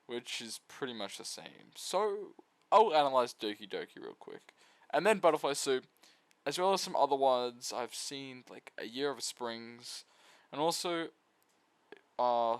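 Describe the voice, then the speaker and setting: A man's voice: mid-range voice, no echo. A man giving a casual video essay review of visual novels, full of flippant, irreverent asides.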